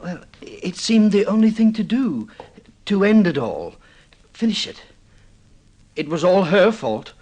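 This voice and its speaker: An actor playing an excitable, obsessive newlywed man in an old-time radio drama, halting and stammering as he tells his story. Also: high-pitched, exaggerated voice. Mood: aggrieved, reminiscing. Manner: halting, stammering repeats, swinging into boastful excitement.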